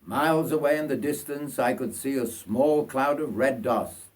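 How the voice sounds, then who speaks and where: Older man from Yorkshire, measured storytelling. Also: mid-range voice. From North Yorkshire, England. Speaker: writer reading own life story. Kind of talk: narrated autobiographical story.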